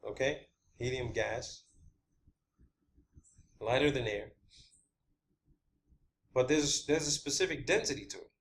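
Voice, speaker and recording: A man's voice: medium pitch, slow, long pauses, a bit bright. A man hosting his own video channel, talking off the cuff in an emphatic, explanatory monologue and repeating phrases for stress.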